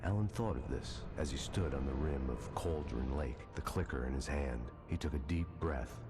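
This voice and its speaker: A man's voice: extremely low pitch, moderate pace, music in the background. A man voicing a solemn storyteller, narrating a horror tale ominously in the third person for a video game.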